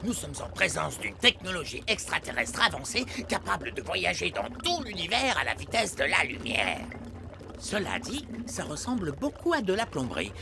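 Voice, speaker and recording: A man's voice: high-pitched, sing-song, moderate pace, creaky, noisy background, full character voice. An actor playing a pompous, self-important male elf in a cartoon. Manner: pompous, theatrical. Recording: cartoon soundtrack with music behind.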